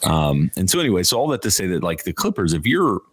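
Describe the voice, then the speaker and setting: Male sports podcast co-host, extremely low voice, casual banter, dry sound. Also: big pitch swings, fast, dry recording.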